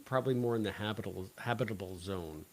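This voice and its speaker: A man's voice: fairly low pitch, fast, poor audio. A middle-aged American man co-hosting a podcast in casual, dry, joking conversation. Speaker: podcast co-host. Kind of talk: casual banter about a show.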